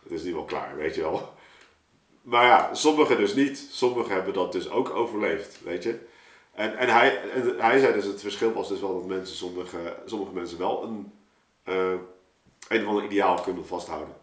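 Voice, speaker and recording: A man with a fairly low voice speaks in a casual, thoughtful conversation. He often halts to find his words, and the room echoes noticeably around him. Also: moderate pace, fairly bright tone. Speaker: musician in a podcast discussion. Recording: roomy, echoing sound.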